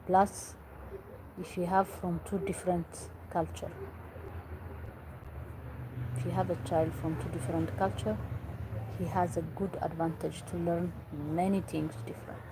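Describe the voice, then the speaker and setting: A woman's voice: lowish voice, flat delivery, unhurried, long pauses, background noise. A woman from Eritrea, earnestly giving parenting advice in English and repeating herself for emphasis. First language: Tigrinya or other Eritrean language. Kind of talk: earnest talk to camera.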